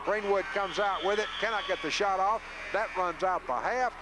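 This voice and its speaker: High-pitched sportscaster over gym noise. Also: male voice, normal speed. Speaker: radio play-by-play announcer. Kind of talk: live basketball play-by-play commentary. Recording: noisy 1980s local radio broadcast.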